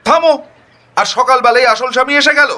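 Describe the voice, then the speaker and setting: A man voicing an angry, suspicious wife who scolds her husband in a sharp, accusing, scornful way. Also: very high-pitched, a little fast, pitched above the performer's own voice, exaggerated voice. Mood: scornful, furious.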